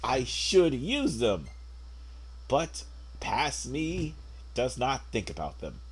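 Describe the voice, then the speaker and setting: A young man with a hoarse voice from a cold, giving rambling, laid-back commentary while he plays a game. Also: medium pitch, sing-song, takes it slowly. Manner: rambling, laid-back, frequent fillers and throat clearing. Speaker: gameplay commentator. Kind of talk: casual let's play commentary. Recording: game music playing behind.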